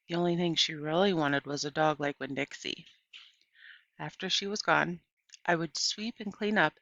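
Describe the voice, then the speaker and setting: A woman reading a children's novel aloud as an audiobook narrator, in an animated storytelling way. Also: low voice, no echo, muffled recording. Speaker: audiobook reader.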